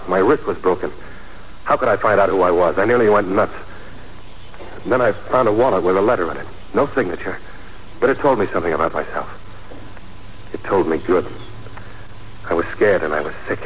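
An actor playing a troubled, wary amnesiac war veteran in a 1940s radio drama, speaking in terse, self-deprecating confessions. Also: male voice, sits low. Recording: noisy 1940s radio recording.

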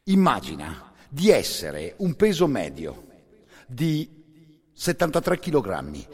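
A man with a husky, gravelly voice delivers an intense, theatrical scripted monologue for radio theatre, swelling between hushed and forceful. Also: mid-range voice. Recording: long hall reverb.

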